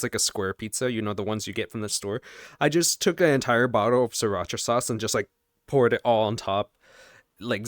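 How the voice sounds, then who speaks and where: A man's voice: on the low side, fairly bright tone, clean tone, no room sound, studio quality. A man giving casual reaction commentary while watching a video game story.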